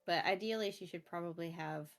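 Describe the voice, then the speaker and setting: A woman's voice: fairly low pitch, a little fast. A woman in casual gaming chat, thinking aloud in a tentative way as she goes.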